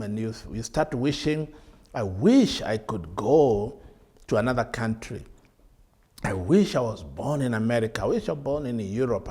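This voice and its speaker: A middle-aged Kenyan man, a bishop, teaching a televised sermon from a studio desk with earnest rhetorical questions and drawn-out emphasis. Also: mid-range voice, a little mellow, husky.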